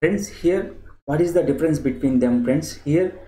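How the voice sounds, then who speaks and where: A young man teaching English grammar in a slow screen-share lesson. He repeats himself a lot and keeps addressing the viewers as "friends.". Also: on the high side, dark tone. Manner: slow and repetitive, often saying "friends" to the viewers. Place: small indoor room. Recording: echoey room with clear background noise. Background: keyboard clicks and typing.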